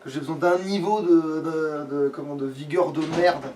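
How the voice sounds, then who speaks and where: A man's voice: fairly high pitch, moderate pace. A man chatting casually as he plays a game, grumbling and swearing as a let's player. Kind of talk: casual gaming commentary. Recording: distant mic, loud background noise.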